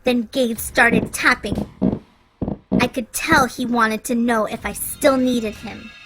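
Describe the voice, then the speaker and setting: A woman reading a children's picture book aloud, playful and expressive. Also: on the high side, slow, faint music behind, poor audio. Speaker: children's story reader. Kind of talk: read-aloud storytelling.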